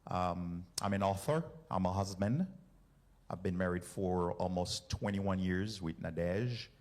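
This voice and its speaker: A man's voice: low-pitched, unhurried, a little mellow. A man, president of a ministry and an author, introducing himself on a church panel with a light joking touch.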